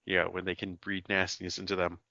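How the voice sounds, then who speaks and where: A man's voice: deep voice, completely flat delivery, fast talker, creaky, sharp tone, no room sound, muffled recording. A man co-hosting a podcast, summarizing a film plot in casual chat, with many fillers and restarts. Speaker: podcast co-host.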